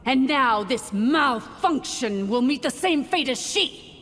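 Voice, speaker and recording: A woman's voice: sits high, animated, slow pace, a bit bright, full character voice. A woman playing a cruel ancient sovereign in a game cutscene, imperious and scornful, with grandly formal speech. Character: haughty, cruel ancient female sovereign villain. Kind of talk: dubbed video game cutscene acting. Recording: long reverb, music underneath.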